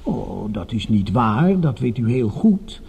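An actor playing a smooth-talking, patronising and quietly sinister male doctor in a Dutch radio drama, placating and measured, with a breathy voice. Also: fairly low pitch, sing-song, full character voice. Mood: soothing, patronising.

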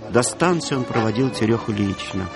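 A male narrator tells a literary story for radio. He has a middle-pitched voice and a measured, colloquial delivery. Clear music accompanies him. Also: lively intonation. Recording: music bed behind the voice.

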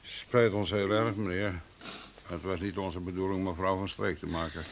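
A man's voice: sits low, fairly even intonation, normal speed. An actor playing a mock-courteous, dryly menacing henchman in an old, hissy Dutch radio play. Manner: dry, falsely apologetic.

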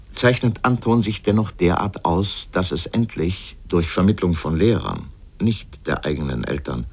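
A man narrating a scripted literary radio essay as a commentator, with a sardonic, pointed manner. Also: low-pitched.

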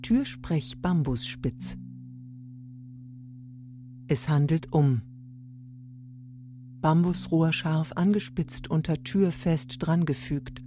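A woman playing a quirky museum audio guide that instructs in deadpan, clipped broken grammar in an experimental radio drama. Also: low voice, slight background noise.

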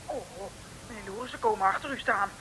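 A woman playing a naive, admiring young detective's sidekick in a 1960s radio comedy serial, polite, cooing and excitable. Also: fairly high pitch, fairly quick, vocal fry, exaggerated voice. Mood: excited warning. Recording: noisy, clicky old radio recording.

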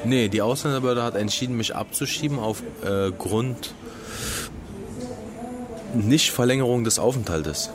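A man's voice: mid-range voice, moderate pace, long pauses, crowd noise. A man in his twenties with a Berlin accent, an interviewee speaking in a casual, blunt and dismissive way. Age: 20s.

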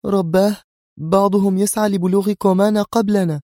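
A man's voice: high voice, steady tone, fairly quick, mellow, no echo, studio quality, voice pushed up, natural voice. A man voicing an anxious, easily vexed young woman agent in an audio drama, speaking tensely and insistently.

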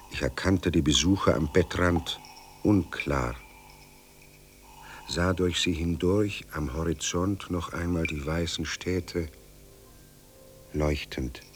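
An actor playing a dead, delirious writer and old soldier in a radio drama, brooding in slow, halting, gravelly monologue. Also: male voice, rumbling low, fairly bright tone, heavily acted.

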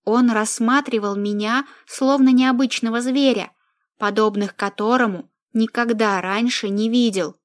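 A woman narrating first-person fiction as an audiobook, with a measured, expressive reading. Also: on the high side.

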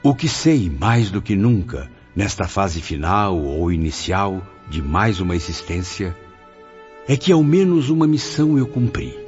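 An actor playing the sorrowful spirit of a cultured 65-year-old writer in a radio drama, speaking with solemn, formal, literary diction. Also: male voice, low-pitched, big pitch swings, measured pace, a little mellow, highs cut off, soft background music. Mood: solemn, reflective. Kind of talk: dramatic radio play monologue.

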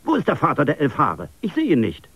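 A man voicing a warm-hearted grown man who speaks in flowery, earnest phrases. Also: on the high side, huge pitch swings, a little fast, slightly rough, no echo, highs cut off, pitched above the performer's own voice, exaggerated voice. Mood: worried.

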